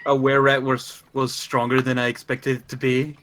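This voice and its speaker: This is a man chatting as a player in an online game. His pitch is average and his talk is casual. His mic carries a faint noise with clicks and typing. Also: monotone, normal speed, a bit bright. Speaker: player in a streamed tabletop game. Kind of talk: casual chat.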